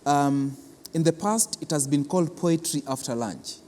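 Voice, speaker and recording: A man with a Kenyan accent explaining a programme in an interview as an official, deliberate and repeating words for emphasis. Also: a little high, a little mellow, slight background noise. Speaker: cultural centre official being interviewed. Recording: reverberant hall.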